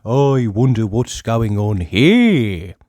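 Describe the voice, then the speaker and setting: Nosy male busybody from a storybook, acted by a narrator: drawn-out, inquisitive musing, very slow, dry sound. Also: medium pitch, very animated, a little mellow, no room sound. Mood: curious.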